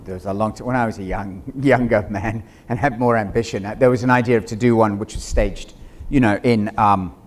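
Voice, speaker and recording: A man's voice: on the low side, fairly even intonation, a little fast. A middle-aged man speaking as a theater director on an informal panel, digressive and acting out imagined quotes. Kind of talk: animated informal panel discussion. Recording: noisy live room ambience.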